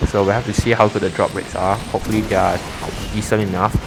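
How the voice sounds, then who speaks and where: A man's voice: on the low side, flat delivery, quick pace, gravelly, music in the background, rough recording. A young man casually commenting on his own racing gameplay, rambling and correcting himself as he goes. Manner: rambling, self-correcting, chatty. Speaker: gaming YouTuber. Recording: game sound loud behind voice. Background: racing game engine sounds.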